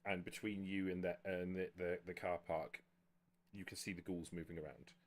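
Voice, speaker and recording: Deep-voiced man running a tabletop game. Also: steady tone, normal speed. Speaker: game master. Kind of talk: improvised narration and rules talk at a tabletop roleplaying game.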